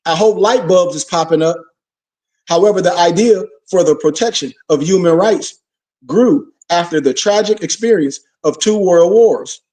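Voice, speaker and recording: A man's voice: high-pitched, darkish tone, far from the mic. A man giving an informal religious lecture, preachy, full of rhetorical questions and call-and-response. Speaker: religious teacher.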